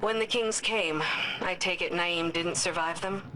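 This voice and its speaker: An actress playing a composed, guarded commander in a video game cutscene, speaking in a measured, formal, cautioning manner. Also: female voice, fairly low pitch, bright, forceful tone, a little hiss or hum. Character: composed, guarded female commander, pragmatic and knowledgeable.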